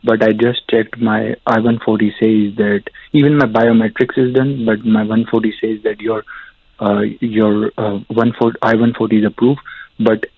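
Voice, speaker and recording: A man from Pakistan calling in to a show, asking a question in a hesitant, halting, polite way. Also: lowish voice, flat delivery, husky, dry recording. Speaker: caller. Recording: tinny phone line.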